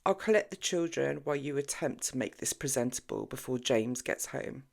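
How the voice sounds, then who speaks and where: A low-pitched, earnest woman played by a female audiobook reader who disapproves and worries. She cautions and scolds like a serious friend in a storybook drama. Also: lively intonation, moderate pace, gravelly, full character voice. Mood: resigned, disapproving.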